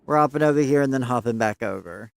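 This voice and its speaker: A man's voice: mid-range voice, fast, vocal fry, no room sound. A man chatting casually with viewers while playing a game, upbeat, saying y'all, and losing his voice. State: losing his voice, clearing throat. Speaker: game streamer. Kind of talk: casual live-stream chat to viewers.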